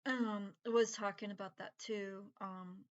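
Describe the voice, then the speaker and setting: A middle-aged woman with an unusual voice from breathing troubles, rambling in a halting, casual monologue full of fillers and restarts. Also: medium pitch, fairly even intonation, lo-fi sound. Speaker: vlogger.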